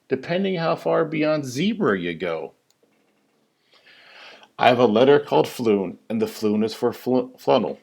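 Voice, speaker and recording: Male storybook reader, slow and deliberate, highly animated, theatrical singsong emphasis on the rhymes. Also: creaky. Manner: theatrical, singsong rhyming delivery with drawn-out emphasis. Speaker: teacher reading a story aloud. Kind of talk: expressive children's picture-book read-aloud.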